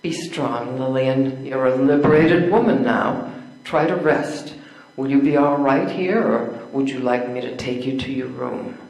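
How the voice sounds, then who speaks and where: A man's voice: mid-range voice, a little slow, darkish tone, natural voice. Frank schoolteacher read by an older man, echoey room. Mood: reassuring. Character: independent, frank schoolteacher woman of the 1900s. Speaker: amateur readers theater performer. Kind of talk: stage play reading.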